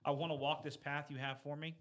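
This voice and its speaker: A man's voice: fairly high pitch, flat delivery, quick pace, a bit bright. A middle-aged man in a casual faith discussion, speaking in an earnest, plain-spoken way and repeating points for emphasis.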